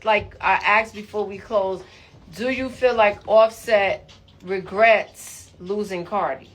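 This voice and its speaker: A woman's voice: mid-range voice, slow pace, low-quality recording. A woman hosting a casual radio talk show discussion.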